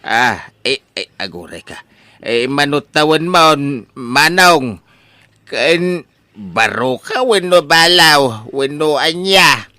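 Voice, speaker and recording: A man's voice: on the high side, animated, slow, pushed and bright, no echo, full character voice. A man with a Filipino accent playing a stubborn, blustering old father in a comedy radio drama, full of exclamations. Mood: flustered, suspicious. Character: excitable, stubborn old father smitten with a young bride.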